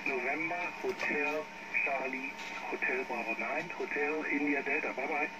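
A man's voice: medium pitch, steady tone, normal speed. Man on very noisy shortwave radio. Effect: shortwave radio transmission. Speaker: amateur radio operator. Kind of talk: ham radio contact, brisk courteous sign-offs.

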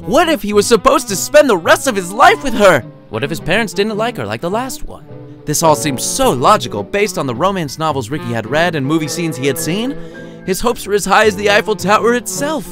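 A man's voice: high-pitched, very animated, fairly quick. Guy reading a short story, theatrical and wry, lots of swoops, music under it. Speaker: author reading own story. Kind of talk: lively scripted storytelling narration.

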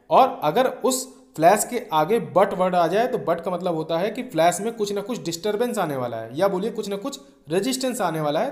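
A man with an Indian accent and a notably high voice delivers an explanatory lecture for exam students. He is didactic, going through each point step by step and regularly checking that listeners follow. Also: darkish tone. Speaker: engineering lecturer.

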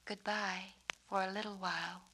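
A woman voicing an earnest, tender little girl in a storybook, soft and breathy. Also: flat delivery, moderate pace, noisy background, natural voice. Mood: soft, reassuring. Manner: soft, breathy, higher than the narration.

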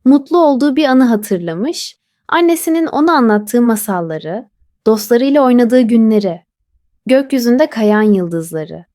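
A woman narrating a children's bedtime story in a soft, gentle, lilting way. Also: a little high, animated, moderate pace, no echo, clean studio sound.

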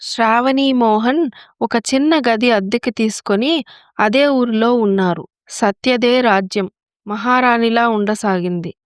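Woman telling a story, voice kinda high, super dry recording. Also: a little slow, no room sound. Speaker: story narrator. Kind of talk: scripted story narration.

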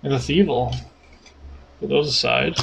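A man's voice: medium pitch, measured pace, creaky. A man in his thirties from Canada, co-hosting a card-opening video at home, joking and reading out card names. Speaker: card-opening channel co-host. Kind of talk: casual chat while opening cards. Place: home room with cards on a table. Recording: noisy home camera recording.

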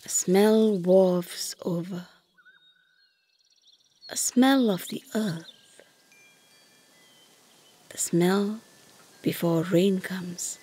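A woman narrating a scripted guided meditation softly and gently, often close to a whisper, with long unhurried pauses. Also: on the low side. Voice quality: soft, breathy, often near whisper.

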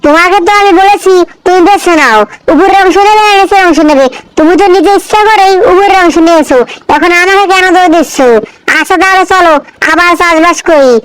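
A performer voicing a cunning, smooth-talking cartoon fox who coaxes with false friendliness. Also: very high voice, fairly quick, a bit bright, no room sound, rough recording. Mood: feigned hurt, urging. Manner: coaxing, wheedling, falsely friendly. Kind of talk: children's folk-tale cartoon dialogue.

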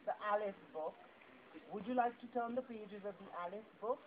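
A woman's voice: medium pitch, fairly quick. A woman performing an interactive story for children in a theatrical, playful way, chatting and putting questions to them. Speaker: puppet show performer. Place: live book festival puppet show. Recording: tinny lo-fi phone-like, very noisy event.

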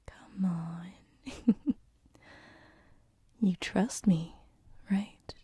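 Woman as a slow, whispering, teasing demoness. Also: on the low side, fairly even intonation, mellow, dry recording, full character voice. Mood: coaxing, reassuring. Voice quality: breathy whisper. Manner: slow, soothing, hesitant, teasing. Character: gentle, teasing female sleep paralysis demon who feeds on dreams. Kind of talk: whispered ASMR roleplay. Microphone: close binaural microphone.

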